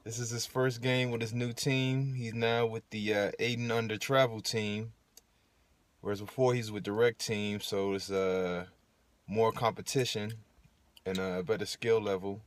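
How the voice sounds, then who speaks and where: A man's voice: fairly low pitch, measured pace, dry recording. Chatty man livestreaming from a parked car. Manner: relaxed, chatty, laughs often, many fillers. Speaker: livestream host. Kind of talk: casual livestream chat. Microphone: phone held at arm's length.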